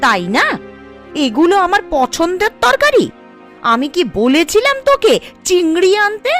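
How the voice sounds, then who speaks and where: A woman playing a sharp-tongued, scheming middle-aged village mother and aunt in a cartoon, bossy and sarcastic. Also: very high voice, sing-song, measured pace, soft background music, full character voice. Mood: angry, sarcastic. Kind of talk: Bengali cartoon voice acting.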